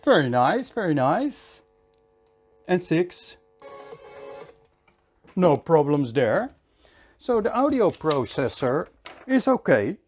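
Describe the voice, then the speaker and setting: A man giving a hands-on electronics repair tutorial in a measured, step-by-step way, often repeating short phrases. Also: on the high side, big pitch swings, darkish tone, faint music behind.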